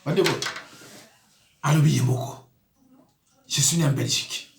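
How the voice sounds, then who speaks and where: A man's voice: fairly high pitch, normal speed, vocal fry, echoey room, a little hiss or hum. A man, a pastor giving emphatic, indignant commentary on news clips. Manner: emphatic, indignant, with rising exclamations.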